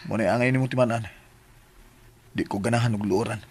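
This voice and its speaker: An actor with a Cebuano Filipino accent and a husky voice playing an exasperated but apologetic, teasing sweetheart in a radio drama. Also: male voice, lowish voice, fairly even intonation, fairly quick. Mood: firm.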